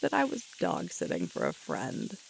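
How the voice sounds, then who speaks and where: A woman playing a sweet, tired young alpha werewolf girlfriend in an intimate ASMR audio roleplay, breathy and halting, often dropping toward a whisper. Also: on the low side, huge pitch swings, heavily acted. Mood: wry, relaxed reminiscing. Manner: halting, intimate, with sighs and murmurs. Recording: loud hissing shower water throughout. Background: running shower water.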